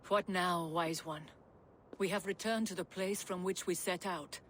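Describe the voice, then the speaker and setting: A woman's voice: lowish voice, fairly even intonation, measured pace, fairly bright tone, a little hiss or hum. A woman speaking with urgent worry in a video game scene. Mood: worried.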